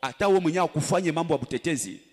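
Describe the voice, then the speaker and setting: A man's voice: a little high, racing, slightly rough. A man interpreting a sermon live in short, emphatic bursts, in a large echoing hall. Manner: emphatic, echoing the preacher's delivery. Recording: reverberant hall sound.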